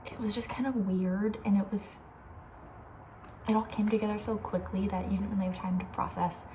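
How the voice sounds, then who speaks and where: A woman talking softly and breathily in a casual, opinionated ASMR ramble, often slipping toward a whisper. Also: mid-range voice, steady tone, fairly quick, a little hiss or hum, rough recording. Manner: chatty, informal, opinionated, trailing off. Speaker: ASMR video host. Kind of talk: soft-spoken ASMR, casual rambling chat.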